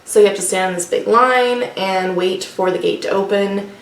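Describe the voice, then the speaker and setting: A woman's voice: mid-range voice, normal speed, gravelly. A woman co-hosting a book vlog in casual, unscripted chat, talking hesitantly as if thinking aloud. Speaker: co-host of a book discussion vlog. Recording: echoey room, distant mic, faint noise.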